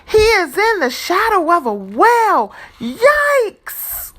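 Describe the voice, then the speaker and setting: Slow, animated woman reading a storybook. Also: very high-pitched. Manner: deliberate, animated, dramatizing for young children. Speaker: teacher reading a picture book aloud. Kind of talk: expressive children's storybook read-aloud.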